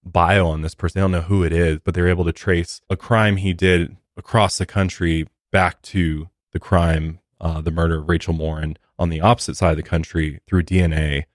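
Deep-voiced man telling a true crime story. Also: level tone, brisk, few pauses, dry recording, studio quality. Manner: conversational, reads case details with asides. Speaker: true crime podcast co-host.

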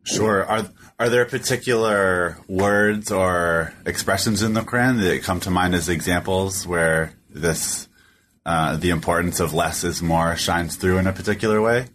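Deep-voiced male interviewer. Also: slight background noise. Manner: relaxed, halting questions. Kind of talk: interview questions.

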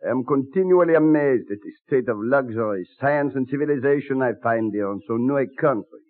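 A man's voice: mid-range voice, brisk, close to an everyday voice. A man acting a French aristocrat visiting early America in a radio play. He is formal, probing and a little hesitant, in a thin, narrow vintage broadcast. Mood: polite, questioning. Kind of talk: old-time radio drama acting. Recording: muffled old radio broadcast.

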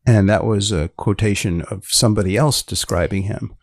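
A man hosting a podcast, asking questions in a dry, measured way. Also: fairly low pitch, no echo. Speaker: podcast host. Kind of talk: podcast interview.

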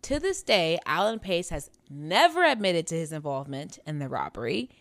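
A woman's voice: low voice, big pitch swings, moderate pace, no echo. A woman in her late twenties co-hosting a true-crime podcast in casual, candid, slangy talk with some swearing. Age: late 20s. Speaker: podcast co-host.

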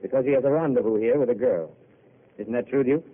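A man's voice: medium pitch, fast, natural voice. A man playing a weary, eloquent poet-intellectual. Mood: wistful. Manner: eloquent, theatrical speeches. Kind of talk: 1930s radio drama acting. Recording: tinny old broadcast with hiss.

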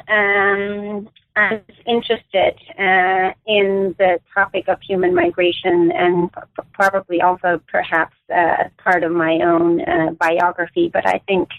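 A woman in her forties, raised in Denmark, speaking as an academic guest in an interview, choosing her words with many pauses and restarts. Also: mid-range voice, flat delivery, narrow, tinny audio. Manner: thoughtful, many fillers and restarts. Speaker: guest author, anthropologist.

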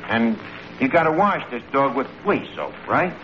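An actor playing a self-assured, scheming working-class tavern manager with a New York accent and mangled folksy grammar in an old radio comedy. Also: male voice, medium pitch, big pitch swings, normal speed, close to an everyday voice. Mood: explaining. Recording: muffled, noisy vintage radio recording.

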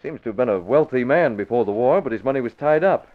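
An actor playing a calm, authoritative American newspaper boss, measured and explanatory, in 1940s radio style. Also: male voice, mid-range voice, close to an everyday voice. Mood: concerned, explaining. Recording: thin, phone-like 1940s radio recording.

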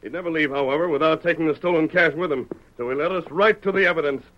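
A man's voice: a little high, fast talker, a bit bright, close to an everyday voice. An actor playing a commanding masked lawman hero in an old-time radio western, speaking with declamatory authority. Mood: calm, assured. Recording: dull, noisy old radio recording.

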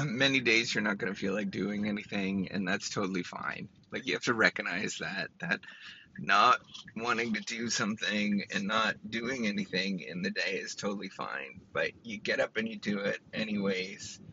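A man with a raspy, tickly throat talks through casual advice, rambling and restarting often. His voice is gravelly with a bright edge. The recording sounds slightly dull, with a faint hiss behind it. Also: lowish voice, moderate pace. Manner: rambling, many restarts and fillers. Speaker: PhD student giving advice. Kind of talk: casual motivational talk to camera.

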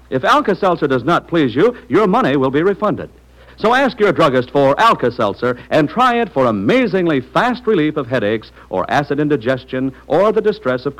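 A man's voice: fairly high pitch, low-quality recording. A man reading a 1940s radio commercial in a polished, persuasive, emphatic way, on a vintage broadcast recording. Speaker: commercial announcer.

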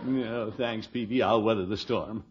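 Actor as a blustery, put-upon uncle, noisy old recording. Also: male voice, lowish voice, steady tone, normal speed, close to an everyday voice. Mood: exasperated. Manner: blustery, exasperated, sighing. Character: blustery, put-upon middle-aged uncle and head of household. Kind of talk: 1950s radio situation comedy acting. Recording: noisy, dull vintage radio broadcast.

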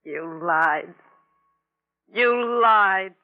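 A woman's voice: mid-range voice, drawn-out words, soft background music. An actress playing a betrayed, wounded wife in a 1950s radio melodrama, pleading and overwrought as she speaks. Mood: anguished. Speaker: actress in a radio crime drama. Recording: tinny old radio broadcast recording.

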